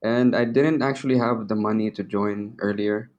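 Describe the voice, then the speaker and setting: Low-pitched young man, casual and slangy. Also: steady tone, moderate pace, a little mellow, highs cut off. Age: 20s. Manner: informal, slangy, swearing. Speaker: interview guest. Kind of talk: casual interview chat.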